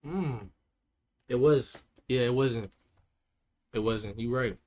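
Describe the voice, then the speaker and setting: A man livestreaming and chatting with his viewers in a laid-back, slangy way, his voice hoarse and cracking. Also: mid-range voice, slow pace, a little mellow. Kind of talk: casual chat with stream chat.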